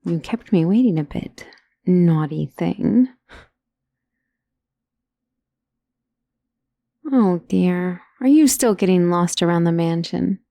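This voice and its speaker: A woman playing an ancient, doting, genteel female vampire in an intimate ASMR roleplay, speaking softly and tenderly, often in a whisper. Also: lowish voice, expressive, dry recording. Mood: teasing, fond. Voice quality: soft, breathy, often dropping to a whisper. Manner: slow, tender, teasing, genteel endearments. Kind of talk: ASMR roleplay, soft intimate acting to the listener.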